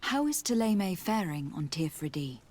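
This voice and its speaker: A woman's voice: fairly low pitch, sing-song, darkish tone. Composed, courteous young noblewoman. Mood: composed, inquiring. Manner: measured, courteous, formal. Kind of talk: video game dialogue acting.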